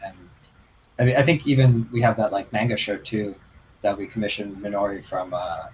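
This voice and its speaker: A man's voice: on the low side, steady tone, brisk, a little mellow, slight background noise, poor audio. A man from Toronto, a band member, chatting casually and rambling with many fillers. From Toronto, Canada. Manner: rambling, lots of "like" and restarts. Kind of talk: casual livestream chat.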